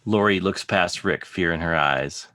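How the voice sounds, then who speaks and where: A man's voice: deep voice, unhurried, pushed and bright. A man reading scripted drama narration as the narrator on a video call. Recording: video call under clear music.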